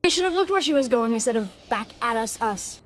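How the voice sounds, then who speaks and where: Woman playing a high-voiced, defensive schoolgirl. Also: animated, brisk, lightly acted. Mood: panicked, defensive. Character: defensive schoolgirl accused of deadly bullying, stubborn. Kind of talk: radio play acting.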